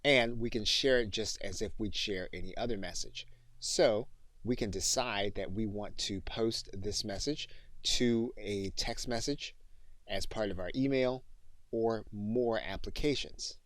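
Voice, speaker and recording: Low-voiced man narrating a software tutorial. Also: measured pace, no echo. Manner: methodical, step-by-step explaining. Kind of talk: instructional screen-demo narration with spoken dictation commands.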